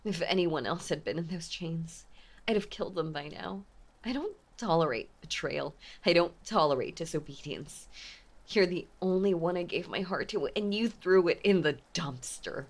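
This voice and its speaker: A woman playing an obsessive, possessive mafia heiress ex-girlfriend in a dramatic audio roleplay, with intense, menacing, theatrical delivery and a breathy voice. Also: lowish voice, animated, normal speed. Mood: angry, possessive. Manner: intense, menacing, theatrical, swinging between hush and outburst. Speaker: voice actor.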